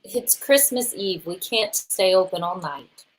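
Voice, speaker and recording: A woman's voice: mid-range voice, expressive, measured pace, close to an everyday voice, rough recording. A woman playing a guarded, timid librarian in a live radio play, prim in manner. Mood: guarded, weary.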